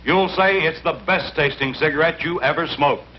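A man's voice: fairly high pitch, moderate pace, over loud music. An American man reading a scripted 1950s commercial voice-over with an upbeat salesman's delivery. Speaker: commercial announcer. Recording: dull, hissy 1950s film soundtrack.

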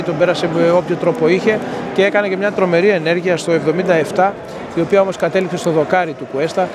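A middle-aged man giving a steady, matter-of-fact postgame match report to a phone camera outside a stadium at night, with many hesitation fillers. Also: high voice. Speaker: sports reporter. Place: outdoors near a stadium at night. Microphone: in-ear earphone mic, phone selfie framing. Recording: phone recording with loud outdoor noise. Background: loud urban outdoor ambience.

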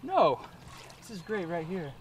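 A man's voice: high voice, very animated, measured pace. A man in his thirties playing a cocky, earnest fishing instructor in an improvised parody film, recorded outdoors. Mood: eager, instructing. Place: outdoors by a lake. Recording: noisy outdoor lakeside film audio.

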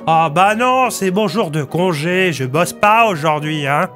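A man's voice: fairly high pitch, lively intonation, unhurried, bright, forceful tone, faint music behind, pitched above the performer's own voice. A man voicing a naive, dim-witted, chatty police sergeant in an audio story, hesitant and guileless. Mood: cheerful, oblivious.

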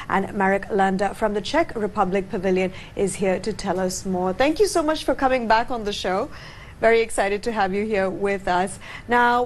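A woman in her thirties presenting a morning show, with polished, bright delivery. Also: mid-range voice, slight background noise. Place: TV studio set.

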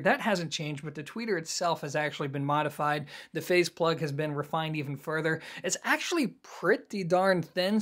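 A man in his thirties with an American accent and a fairly high-set voice. He talks to the camera as a casual reviewer, explaining things with clear emphasis on key points. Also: a bit bright. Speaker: audio gear reviewer.

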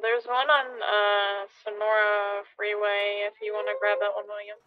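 A woman's voice: mid-range voice, little pitch movement, normal speed, smooth, no room sound. A woman playing an emergency dispatcher in an online roleplay game, chatting casually over the radio. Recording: thin phone-like radio line.

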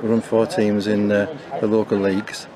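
A man's voice: fairly low pitch, monotone, normal speed, a crowd in the background. An older man, a bowling club committee member, speaking in an outdoor interview with many fillers and restarts. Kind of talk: interview talk.

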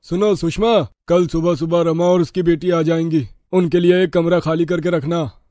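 A man's voice: fairly high pitch, steady tone, moderate pace, mellow, no room sound, clean studio sound, lightly acted. A man voicing a humble, sorrowful father in a cartoon moral story, subdued and earnest. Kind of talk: animated Hindi moral story acting.

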